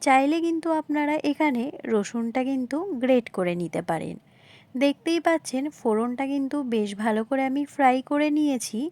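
A woman's voice: high-pitched, expressive, brisk, darkish tone. A woman narrating a home cooking recipe, explaining politely and repetitively and addressing viewers directly. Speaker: home cooking video host. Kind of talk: recipe narration, instructional voice-over.

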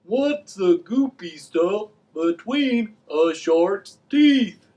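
A man's voice: high-pitched, lively intonation, very slow, warm tone, full character voice. A man voicing a curious, simple talking fish puppet with a drawling manner for a children's puppet show. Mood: dryly playful. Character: slow, mellow, curious talking fish puppet named Fred.

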